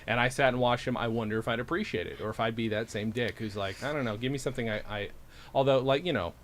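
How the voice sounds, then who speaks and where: A man's voice: medium pitch, fast, a little hiss or hum. A man from upstate New York hosting a podcast, chatting casually, going off on tangents and poking fun at himself. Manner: animated, tangent-prone, self-deprecating. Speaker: podcast host.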